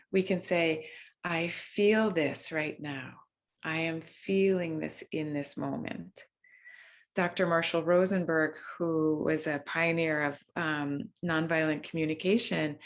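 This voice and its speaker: Soft gravelly woman, slow and gentle, guiding a mindfulness circle over a video call, lots of ums. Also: low voice. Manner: soft, unhurried, with frequent ums and restarts. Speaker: workshop facilitator. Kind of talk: gentle guided mindfulness talk.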